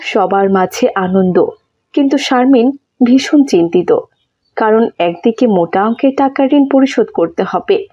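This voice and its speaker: A woman's voice: on the high side, a little slow, dull audio. A woman narrating a scripted moral story for children as a storyteller.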